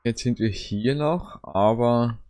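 A man's voice: mid-range voice, slow, a little mellow. A man giving casual let's play commentary in short, fragmentary remarks. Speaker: let's player. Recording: noisy game ambience behind. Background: horror game ambience.

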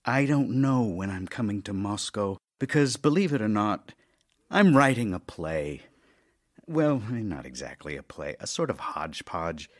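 An actor playing a witty, weary, affectionate middle-aged playwright in an audio drama of love letters, with a dry, teasing, melancholy and theatrical manner. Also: male voice, fairly low pitch, very animated, a little slow. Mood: tender, gloomy.

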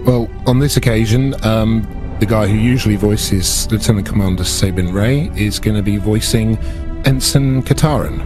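A man's voice: lowish voice, moderate pace, over music. A man chatting casually as a player on a tabletop game show. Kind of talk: casual chat.

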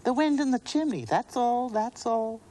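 A man voicing a young girl who chants a spooky playground rhyme in a high sing-song voice. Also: animated, moderate pace, dark tone, a little hiss or hum, poor audio. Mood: eerie chanting.

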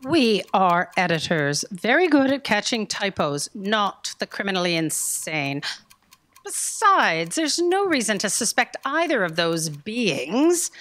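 A woman's voice: medium pitch, fairly bright tone. A woman playing an impatient, imperious editor boss in a staged comedy audio drama, with a dry, commanding delivery. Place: theatre stage. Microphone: stand microphone.

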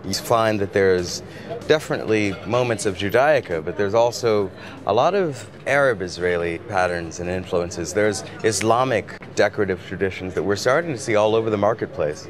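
A man narrating a documentary in a measured, reflective and articulate way. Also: fairly low pitch, a crowd in the background. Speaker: visual artist presenting the film.

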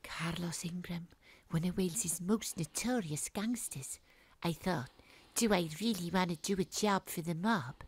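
A woman's voice: lowish voice, a little slow, husky. A woman playing a wry, wary hard-boiled private detective in an audio drama, clipped and suspicious. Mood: suspicious, firm. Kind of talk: audio drama acting, noir narration.

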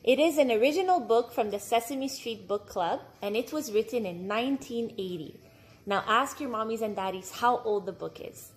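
Woman reading storytime for kids, highish voice with a husky edge, very expressive. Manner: expressive, deliberate phrasing for young listeners. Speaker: story time reader for children. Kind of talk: read-aloud children's storybook narration.

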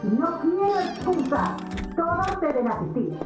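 A woman's voice: very high-pitched, very animated, measured pace, exaggerated voice. A woman voicing a stern, nagging demon aristocrat in a Latin American Spanish cartoon dub. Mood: scolding. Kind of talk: animated cartoon fan dub. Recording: very echoey, music behind.

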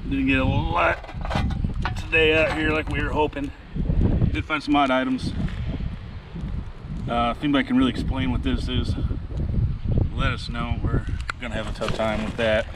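A man's voice: mid-range voice, flat delivery, moderate pace, long pauses. A man co-hosting a casual outdoor vlog by the water, talking about things in plain, chatty fashion. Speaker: magnet fishing video co-host. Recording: noisy outdoor ambience behind voice.

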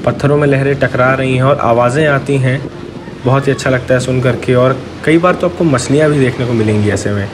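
A man's voice: medium pitch, brisk. A man narrating a train journey vlog in a casual, friendly way, talking to his viewers. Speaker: travel vlogger. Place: on a moving train. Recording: train noise behind the voice. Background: train and wind noise.

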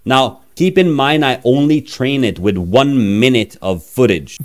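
A man in his thirties from Norway, speaking with a Norwegian accent in a casual, playful software demo. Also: mid-range voice, measured pace. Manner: chatty, playful, self-mocking. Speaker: tech reviewer. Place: small room, indoors.

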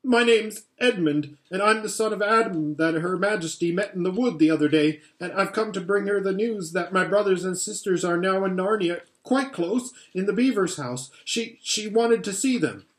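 A man voicing a frightened, eager young boy in a storybook reading, raising his pitch for him. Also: moderate pace, hardly any pauses, fairly bright tone.

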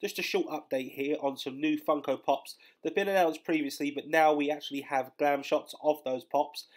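Listening in, you hear a young man who sounds bunged up and under the weather, his voice sitting a bit high for a man. He chats and rambles in a loose vlog voice-over, filling gaps with lots of ums and uhs. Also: steady tone, moderate pace. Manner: chatty and rambling, many fillers. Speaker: collectibles channel host. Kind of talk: casual news vlog voice-over.

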